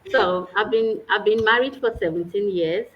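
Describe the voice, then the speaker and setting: A woman's voice: mid-range voice, moderate pace, lo-fi sound. A woman, a guest earnestly telling her personal story in a casual chat. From Nigeria. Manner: earnest, warm storytelling with small laughs.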